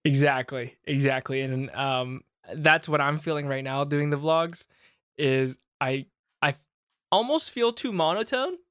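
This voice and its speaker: A man's voice: a little high, a little slow, fairly bright tone, smooth, no room sound, clean studio sound. A young man hosting a podcast, talking casually with lots of fillers and restarts. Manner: rambling, many fillers and restarts. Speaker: podcast host.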